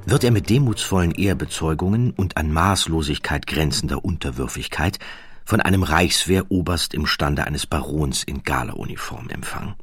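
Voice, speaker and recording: A man's voice: rumbling low, fairly bright tone, husky, no echo. A man reading a scripted audiobook narration in a theatrical, ironic storytelling manner.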